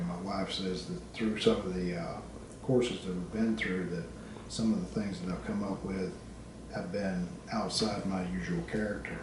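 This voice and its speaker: Low-voiced middle-aged man in an echoey room. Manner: halting, pausing between short phrases. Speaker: college student giving a personal presentation. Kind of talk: personal reflective presentation. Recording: very echoey, distant microphone, background noise.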